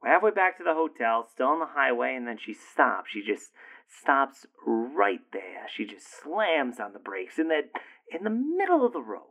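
A man's voice: medium pitch, sing-song, normal speed, gravelly, phone-quality sound. A man playing a profane, rambling boyfriend. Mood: ranting. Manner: profane, rambling, laughing and exasperated. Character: crude, easygoing boyfriend ranting to a friend. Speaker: boyfriend leaving a voicemail. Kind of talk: audio drama acting.

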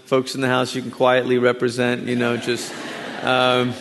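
A man from Malaysia, a guest preacher, opening his sermon in a warm, conversational way with chatty asides. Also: medium pitch, completely flat delivery, moderate pace, soft background music. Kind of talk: sermon introduction. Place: large church sanctuary. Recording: long hall reverb.

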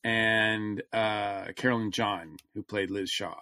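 Man, halting delivery, dry close sound. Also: lowish voice, steady tone, pushed and bright, no room sound. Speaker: podcast co-host. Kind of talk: casual chat about fandom news.

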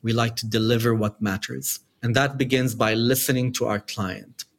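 Measured man with a light Dubai accent. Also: medium pitch, fairly even intonation, gravelly. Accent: Dubai-tinged English. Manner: measured, explanatory, builds points in lists. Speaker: podcast guest, media analysis executive. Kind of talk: conversational interview answers.

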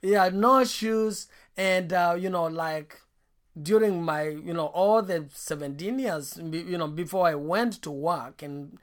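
A Kenyan man, a pastor guest, telling his life story in a halting way, with many restarts and fillers. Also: high voice. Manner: slow and halting, with many restarts and you knows. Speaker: pastor and ministry founder, guest. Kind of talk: interview storytelling.

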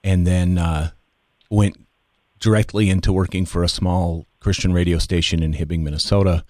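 An American man, a podcast guest, telling his personal story in conversation in a measured, deliberate way with pauses. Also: deep voice, fairly even intonation, a little mellow, dry recording, studio quality.

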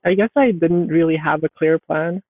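A woman from Sweden, a software developer giving thoughtful interview answers and often restarting sentences as she looks for words. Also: deep voice, normal speed, no room sound. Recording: dry, phone-like remote audio.

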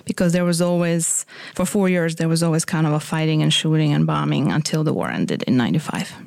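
A woman's voice: low-pitched, a little fast, gravelly, a little mellow, no room sound. A woman in her forties from Croatia, speaking English with a Croatian accent, giving a reflective personal testimony in an interview.

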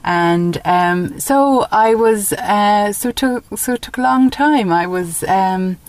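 A woman's voice: mid-range voice, takes it slowly, no echo, poor audio. A woman from Dublin with an Irish accent, talking conversationally as an interview guest and telling personal anecdotes. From Dublin, Ireland.

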